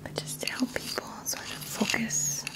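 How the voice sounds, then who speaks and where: A woman in her thirties leading a guided ASMR session in a gentle, hushed, often whispered voice with short pausing phrases. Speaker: ASMR Reiki practitioner and host. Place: small indoor room. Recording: clear background noise with small clicks.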